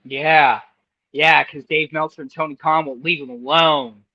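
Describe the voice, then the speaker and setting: A man co-hosting a casual podcast, blunt and sardonic with crude jokes. His voice sits a touch high. It comes through a dull, lo-fi mic with a dry sound. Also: fairly even intonation, measured pace, no echo. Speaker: podcast co-host. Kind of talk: casual profane podcast banter.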